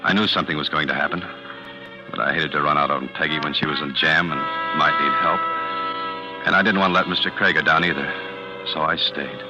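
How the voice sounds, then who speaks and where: Streetwise young ex-convict, American, extremely low voice, tough and wary; muffled vintage radio drama. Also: male voice, fairly even intonation, fairly quick, loud music behind the voice. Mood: grim narration. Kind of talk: 1940s radio acting.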